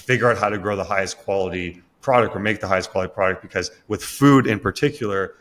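A man with a fairly low voice hosts a relaxed conversation over a video call. He explains things in halting, stop-and-start phrases, working out his thoughts as he goes. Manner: halting, thinking aloud. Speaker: podcast host, microgreens grower. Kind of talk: casual interview conversation. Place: small room indoors, on a video call.

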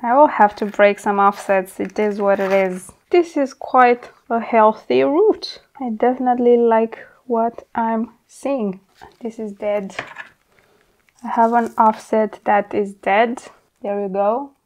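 A woman's voice: a little high, steady tone, slow, darkish tone, slight background noise. A young woman hosting a plant vlog, talking casually and candidly as she works with her hands, informal and mildly sweary. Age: young adult. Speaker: plant channel host. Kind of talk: casual hands-on tutorial chat. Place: indoor plant studio at a worktable.

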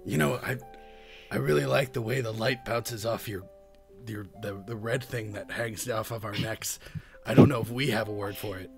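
A man's voice: on the low side, normal speed, vocal fry. A man improvising casually as a player in a tabletop game. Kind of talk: casual improvised role play. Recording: music behind.

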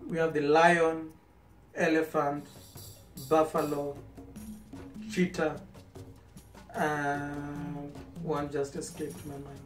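A man's voice: a little high, completely flat delivery, takes it slowly, pauses often. A man in his twenties with a Kenyan accent, talking casually as an interview guest. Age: 20s. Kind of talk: casual chat. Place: small indoor room. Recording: roomy, distant mic, music underneath.

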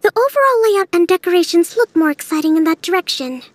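A woman voicing a polite, thoughtful young female strategist in a video game, measured in manner. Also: extremely high pitch, animated, dry recording. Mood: confident.

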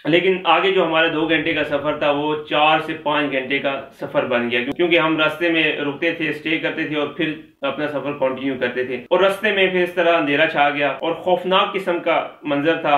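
A man's voice: on the high side, normal speed, hardly any pauses. A man in his thirties with a Pakistani accent, telling a story casually to the camera in a small room at home, gesturing as he talks. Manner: chatty, animated with hand gestures. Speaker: vlogger. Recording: echoey room, dull lo-fi sound.

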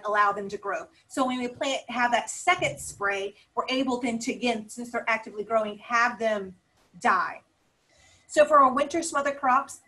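A woman's voice: fairly high pitch, a bit bright. A woman lecturing over slides as an agricultural extension educator, explaining in instructional but conversational phrasing.

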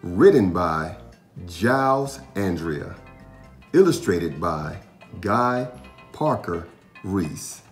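A man reading a children's picture book aloud with expressive, deliberate, dramatic storybook narration. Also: deep voice. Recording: echoey room with music underneath.

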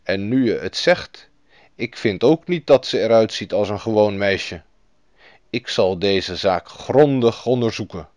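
A kindly, dignified old king from a fairy tale, as a male storyteller puts him on, speaking in measured, thoughtful tones. His voice drops deep below the narration and sounds dry and close. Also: expressive, darkish tone, no room sound.

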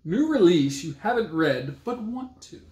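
A man in his thirties chatting about books as a vlog co-host, in playful, halting short phrases, recorded in an echoey room at home. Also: high-pitched, big pitch swings, a little mellow. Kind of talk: casual book review chat. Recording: echoey room with background noise.

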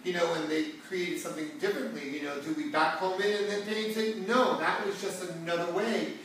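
A man's voice: high-pitched, steady tone, a little fast, fairly bright tone. Middle-aged man, distant and very echoey. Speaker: salon talk show host, hair stylist. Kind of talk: conversational educational talk to viewers. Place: indoor hair salon seating area. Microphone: far camera. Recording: distant mic in echoey room, background hiss.

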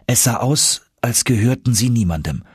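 A man's voice: mid-range voice, animated, normal speed, slightly rough, no echo, clean studio sound. A man narrating an audiobook in a dramatic, scripted first-person storytelling style.

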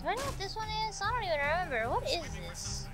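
A woman's voice: very high-pitched, very animated, quick pace, loud music behind the voice. A woman hosting a let's play, rambling and giggling to herself as she plays, a bit congested from allergies. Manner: rambling, self-chatting, giggly. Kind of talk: casual gameplay commentary.

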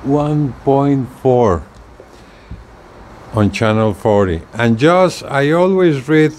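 An older man talks slowly through a casual gadget demonstration. His delivery is halting and unpolished, with a medium-pitched voice. He is recorded outdoors on a porch with faint ambient noise behind him. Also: animated, darkish tone. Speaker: video creator demonstrating radio gear. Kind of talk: casual hands-on product demonstration.

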